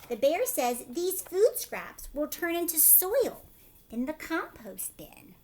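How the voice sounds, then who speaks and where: A woman with a fairly high voice reads aloud as a teacher would to young children. She steps out of the text to explain things simply and checks in with her listeners in an instructive way. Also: sing-song, slow pace, a little mellow. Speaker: teacher reading a picture book aloud to children.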